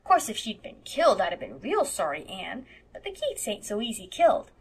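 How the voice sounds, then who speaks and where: A woman's voice: mid-range voice, wildly swooping pitch, a little fast, husky, exaggerated voice, rough recording. A woman voicing a blunt, defiant eight-year-old farm boy with childish, folksy grammar in an audiobook. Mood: defiant, matter-of-fact. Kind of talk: audiobook character voice.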